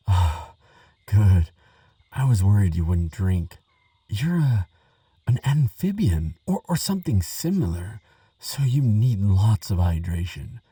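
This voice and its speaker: A man playing a nervous, kindly, chatty researcher in an audio roleplay, hesitant and gentle, rambling with small stammers. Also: on the low side, husky, no room sound, far from the mic, heavily acted. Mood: gentle, fussing. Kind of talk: audio roleplay monologue addressed to the listener.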